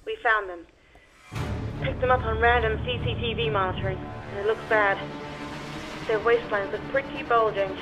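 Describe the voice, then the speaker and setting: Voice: medium pitch, fairly even intonation, normal speed, long pauses, lo-fi sound, over music, natural voice. An actor playing a terse, businesslike intelligence officer reporting to a superior in a radio drama. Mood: urgent, terse.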